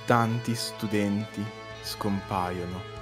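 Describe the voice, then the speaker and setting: A man voices a grave cartoon student with a secret. He speaks slowly and ominously in a mid-pitched voice, with clear music behind. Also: steady tone. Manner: slow, ominous. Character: grave male student revealing a dark secret. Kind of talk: cartoon dub.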